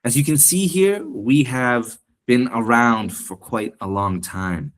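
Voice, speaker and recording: A man from Canada and Thailand, a program director, giving an online seminar presentation in a polite, earnest, measured way. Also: medium pitch.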